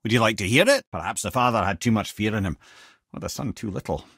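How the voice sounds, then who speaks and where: An actor playing a wry old sage in a video game, an eloquent, theatrical storyteller with a Scottish accent. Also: male voice, lowish voice, fast, fairly bright tone, slightly rough, no room sound, studio quality. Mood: measured storytelling.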